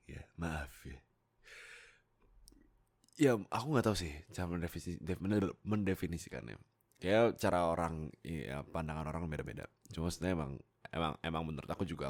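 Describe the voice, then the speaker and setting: A young man chatting casually to his viewers in a deep, gravelly voice, informal and self-deprecating. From Indonesia. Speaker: YouTube roleplay voice creator.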